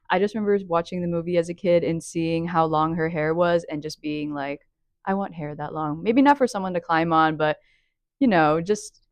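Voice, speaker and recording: A woman's voice: fairly low pitch, darkish tone, smooth, dry recording. A twenty-year-old woman answering interview questions casually, starting with many hesitant fillers. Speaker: contestant.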